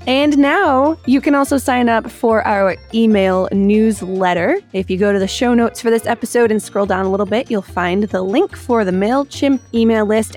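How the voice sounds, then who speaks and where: A woman's voice: a little high, darkish tone. Upbeat woman podcast host. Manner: laughs often, upbeat. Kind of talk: casual chat and show outro.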